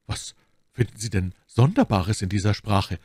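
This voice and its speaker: A man's voice: lowish voice, expressive, normal speed, a little mellow, breathy edge, dry recording. A man voicing a scheming noblewoman who feigns innocence in an audiobook, with polished, formal, artfully naive speech. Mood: feigned naivety. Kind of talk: audiobook character dialogue.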